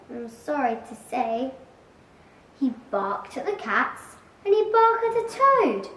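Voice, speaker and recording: Young girl, very animated slow dramatic recitation. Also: high voice. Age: child, about 9 or 10. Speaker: school pupil reciting. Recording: echoey room, distant mic, faint noise.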